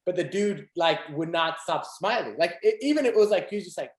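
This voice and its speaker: Animated young man, echoey room. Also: high-pitched, a little fast. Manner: animated, laughs often, many fillers. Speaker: podcast host. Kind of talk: casual chat.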